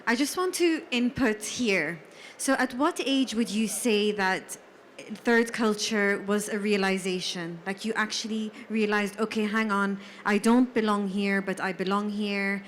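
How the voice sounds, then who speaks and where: A woman's voice: medium pitch, measured pace, slight background noise. A woman moderating a panel at a literary salon, asking conversational questions. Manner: probing, conversational. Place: literary salon at a book fair.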